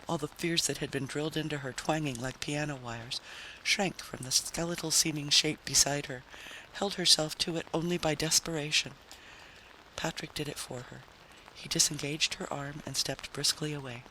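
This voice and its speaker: Guy narrating a dramatic story, crisp bright tone, bit of background noise. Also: fairly high pitch, normal speed, poor audio.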